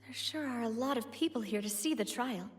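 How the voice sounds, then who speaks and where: An actress playing a confident young noblewoman leader, earnest and expressive. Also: a little high, brisk, in a large hall, close to an everyday voice. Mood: puzzled.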